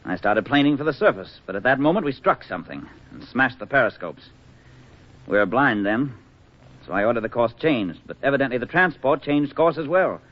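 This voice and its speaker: A bitter, defiant navy diver in a 1940s radio drama. His voice sits at an ordinary male pitch and his talk is curt and resentful. The recording is dull and lo-fi. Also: very animated, fairly quick. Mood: grim, resigned. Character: bitter, defiant navy diver, disgraced former submarine commander.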